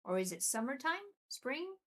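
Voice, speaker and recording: A young woman from Venezuela hosting a live stream, chatting excitedly and answering viewers' comments. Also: high voice, normal speed. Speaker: livestream host.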